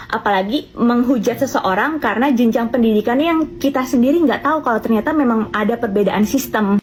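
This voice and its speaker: A young woman, a student, calmly explaining in a measured, lecturing way. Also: medium pitch. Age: young adult. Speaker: student in Singapore. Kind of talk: explanatory commentary clip.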